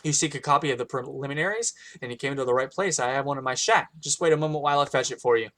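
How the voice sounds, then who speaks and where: A man with a hoarse voice reading the lines of a forgetful, irritable hermit priest from a game. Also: medium pitch, racing, fairly bright tone, lightly acted. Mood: irritated. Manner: reads game dialogue aloud, brusque.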